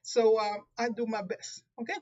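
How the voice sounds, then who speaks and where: A woman's voice: fairly high pitch, level tone, moderate pace, a little mellow, highs cut off. A woman with a Puerto Rican accent, a native Spanish speaker, giving a live tarot reading, talking carefully with frequent restarts. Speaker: tarot reader.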